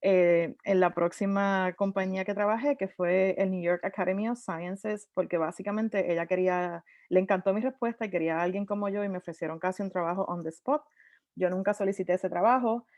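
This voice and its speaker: A lively woman in her thirties speaks in a mid-range voice. She switches into English terms and often restarts her sentences as she talks. Her voice comes through a dry, dull video call line with no room sound. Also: medium pitch, no echo. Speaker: panelist. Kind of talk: conversational storytelling on a virtual panel.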